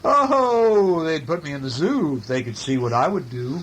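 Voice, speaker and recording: A man reads a picture book aloud to toddlers with very lively, swooping intonation. He chats with them, asks questions and throws in playful sound effects, on a home recording with faint noise behind him. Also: mid-range voice, a little fast, rough recording. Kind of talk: animated picture-book reading to toddlers, chatty.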